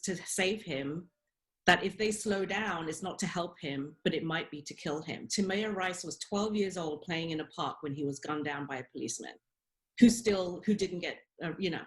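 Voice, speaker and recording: A British woman in her forties, speaking as a guest on a radio interview. Her voice sits a little low, and she speaks earnestly, thinking aloud with plenty of ums and self-corrections. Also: low-quality recording.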